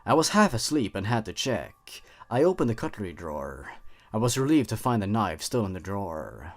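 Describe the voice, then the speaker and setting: A man reads horror fiction as a storyteller, with a voice on the low side. His pitch moves widely as he goes, giving the scripted narration a theatrical, suspenseful feel. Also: very animated, normal speed.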